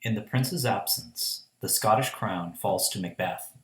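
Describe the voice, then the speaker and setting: A man's voice: lowish voice, a little slow, a bit bright. A young man giving scripted narration for a radio play. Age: young adult. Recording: echoey room.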